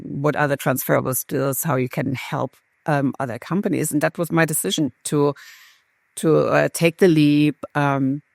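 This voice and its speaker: A middle-aged woman with a German accent, a marketing executive talking candidly as an interview guest, lively and self-deprecating with frequent restarts. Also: low-pitched, creaky, dry recording, clean studio sound.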